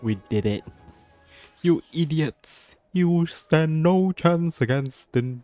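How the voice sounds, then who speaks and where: A man's voice: fairly high pitch, animated, takes it slowly, warm tone. Sassy man reacting to a game. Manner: interjecting, exclamatory, sassy. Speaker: gamer reacting to a game playthrough. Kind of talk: casual live reaction commentary. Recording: game music behind the voice.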